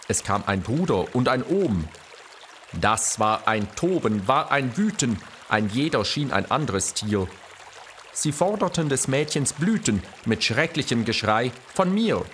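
A man playing a courtly classical poet, reciting letters and verse in a measured, expressive way for an audio drama. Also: mid-range voice, a little hiss or hum. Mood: dramatic. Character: famous male poet reading his letters and verse, courtly.

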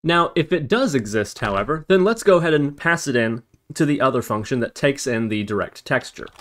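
A man's voice: mid-range voice, lively intonation, fairly quick, fairly bright tone. A man narrating a step-by-step programming screencast as an instructor, explaining and thinking aloud as he works.

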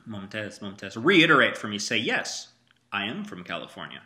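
A man's voice: fairly low pitch, very animated, normal speed, sharp tone. A man teaching a student Arabic in a one-on-one lesson, patiently prompting him and repeating his praise.

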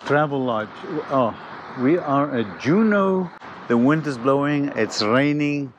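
Older male vlogger, 60s, unhurried and halting, casual travel chat over clear location noise. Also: medium pitch, animated. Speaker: vlog host, roofing contractor. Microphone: clip-on lapel mic. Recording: noisy on-location vlog audio.

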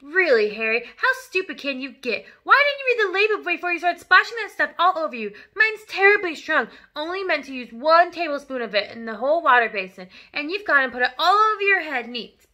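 Woman as a shrill, scolding storybook mother. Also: expressive, brisk, sharp tone, exaggerated voice. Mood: exasperated. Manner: shrieking, nagging, belittling. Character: scornful, shrill, scolding middle-aged wife and mother.

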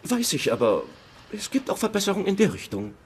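Grumbling, animated sitcom husband. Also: male voice, high voice, brisk. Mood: blunt, scheming. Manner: sardonic, blunt. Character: sarcastic, grumbling working-class husband, adult man. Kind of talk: German-dubbed sitcom acting. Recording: loud noise, clicks.

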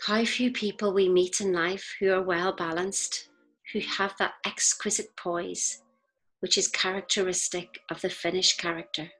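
A woman reads scripted inspirational narration in a measured, deliberate way, with pauses between phrases. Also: fairly low pitch, steady tone, fairly bright tone, low-quality recording. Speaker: audiobook reader. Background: faint music.

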